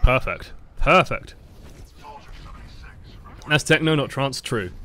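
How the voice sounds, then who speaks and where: Casual young male coach, mid-pitch voice, unhurried, chatty, a bit sweary. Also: expressive, pushed and bright. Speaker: game coach reviewing footage. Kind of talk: casual gameplay commentary.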